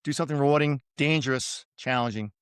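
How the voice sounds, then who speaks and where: Boston man in his fifties, smooth and dry-sounding, relaxed storyteller full of ums and asides. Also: mid-range voice, fast, dry recording. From suburban Boston, USA. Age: 50s. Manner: easygoing, self-deprecating, many fillers. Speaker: podcast guest, retired Navy pilot. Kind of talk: casual interview storytelling.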